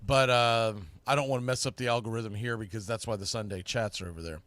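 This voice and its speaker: A man's voice: medium pitch, steady tone, fast, a bit bright, no room sound. A man hosting a sports show, talking to viewers in a loose, informal, rambling way and often correcting himself. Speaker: host of a sports podcast and play-by-play streamer.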